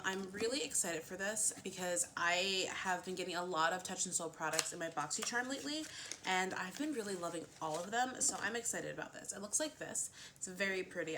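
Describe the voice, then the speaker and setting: Woman, beauty vlogger, bright voice, a bit low, sniffly, chatty, faint hiss behind. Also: fairly quick. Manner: chatty, lots of fillers and "like". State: a little sniffly. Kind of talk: casual chatty get-ready-with-me.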